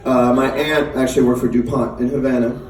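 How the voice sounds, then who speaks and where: A man's voice: mid-range voice, little pitch movement, fairly quick, a little mellow. Middle aged guy chatting onstage between songs, lots of ums and laughs, echoey room, mic kinda far off. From United States. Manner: chatty, laughing often, hesitant with many fillers. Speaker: singer and performer. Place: live venue on stage. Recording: roomy live sound from a distance.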